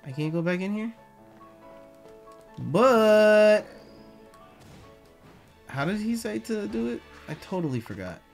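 A hyped-up man commentating a video game from his home setup on a headset mic. He swears freely and loops his catchphrases, and his slightly high voice is wearing hoarse. Faint music runs underneath. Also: slow, pauses often. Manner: excitable, repeats catchphrases, swears a lot. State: voice getting hoarse. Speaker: gaming YouTuber. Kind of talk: let's play commentary.

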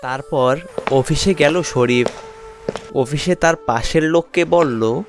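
A man narrating an animated folk tale in a scripted storytelling style. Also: a little high, slow pace, music in the background.

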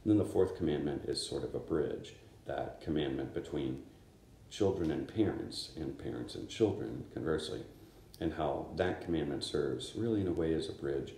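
A man in his fifties, a priest, reading the Gospel and giving a conversational, unhurried homily in a small chapel. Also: rumbling low, vocal fry, mellow, reverberant, a little hiss or hum. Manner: conversational, unhurried preaching with gestures. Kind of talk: homily at daily Mass. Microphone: camera in front of him.

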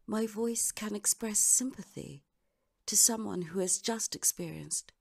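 A woman voice-over artist delivering a scripted dramatic monologue in a deliberate, theatrical manner with measured pauses. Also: mid-range voice, vocal fry, a little mellow, breathy edge.

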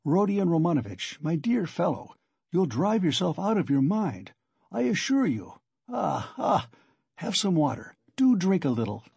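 A man's voice: a little high, sing-song, measured pace, warm tone, husky, no room sound, pitched above the performer's own voice, exaggerated voice. A man voicing a sly, chatty investigator in an audiobook, wheedling and exclaiming with theatrical concern. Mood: fussing, solicitous. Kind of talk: audiobook character acting.

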